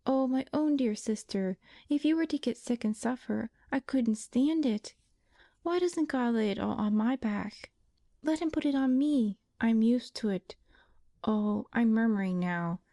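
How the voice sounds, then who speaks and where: A woman's voice: on the high side, unhurried, mellow, dry recording, clean studio sound, voice pushed up. A woman voicing a frail, pious young invalid woman who pleads tenderly and tearfully.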